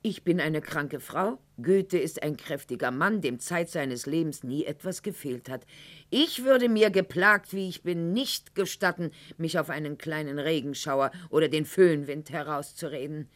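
A woman's voice: deep voice, unhurried. An actress playing a proud, bitter, sickly aristocratic lady in a theatrical radio monologue, with mocking, precise, formal diction. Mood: indignant, scornful.

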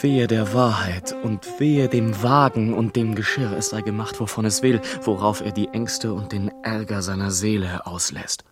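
An actor playing a restless, wordy gentleman writer on his travels in a literary radio play. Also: fairly low pitch, fairly even intonation, moderate pace, few pauses, over music, natural voice. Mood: declaiming.

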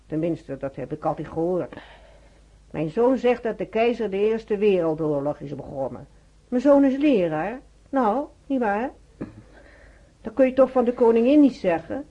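An actress playing a chatty, opinionated middle-aged hospital roommate in a radio drama, insistent and self-assured, with a husky, mellow voice. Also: female voice, mid-range voice, expressive, moderate pace, lightly acted. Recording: slightly dull radio play recording.

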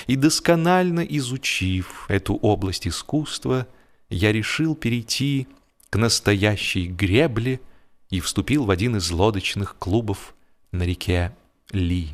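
A man narrating comic literary prose as an audiobook, theatrical and expressive, with deliberate pauses and repetitions. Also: lowish voice. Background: music.

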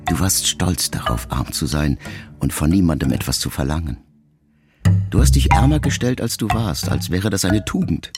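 A man reading a letter aloud as an audiobook, in a measured, reflective way. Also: low-pitched, expressive. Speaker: narrator. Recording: loud music underneath.

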